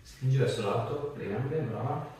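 A man's voice: mid-range voice, moderate pace, a little mellow. A man in his thirties working as a chiropractor, giving a patient short, coaxing hands-on instructions in a clinic room. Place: small tiled-floor clinic treatment room. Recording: roomy, distant mic with background noise.

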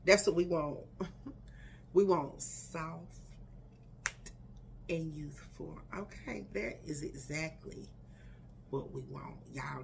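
A woman's voice: low voice, slight background noise. Chatty woman vlogging at home. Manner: chatty, animated, addresses viewers as y'all and girl. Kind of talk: casual chat to camera. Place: living room at home. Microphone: camera in front at desk distance.

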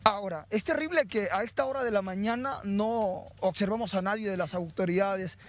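A man's voice: sits high, moderate pace. A man with a Honduran accent reporting live on TV news from the scene of a fire, outdoors, on a handheld mic, urgent and guiding the camera. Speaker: field reporter. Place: outdoors at burned homes.

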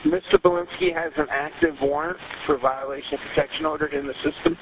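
A man's voice: very high voice, wildly swooping pitch, fast talker, gravelly. A man working as a sheriff's deputy, talking casually on a work call over a phone line. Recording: hissy, tinny phone line.

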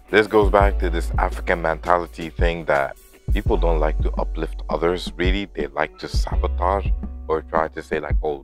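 A young man in his twenties talking to camera in a loose, rambling vlog, full of "you know" and "like", recorded on a lapel mic indoors in a house. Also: rumbling low. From grew up in Lebanon. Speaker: vlogger.

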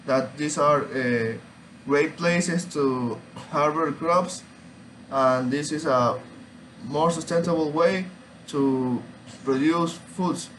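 Slow, halting young man presenting. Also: on the high side, fairly bright tone, a little hiss or hum, poor audio. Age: young adult. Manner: halting, hesitant, searching for words. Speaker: student. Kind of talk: informal student oral presentation. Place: small room at home, indoors.

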